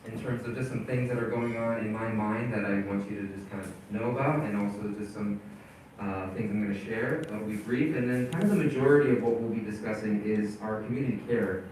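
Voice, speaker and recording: A man's voice: fairly low pitch, darkish tone, recorded from a distance, a little hiss or hum. Man speaking in an echoey hall. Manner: halting, with many fillers and restarts. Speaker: community leader presenting at a town hall. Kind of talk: casual spoken presentation.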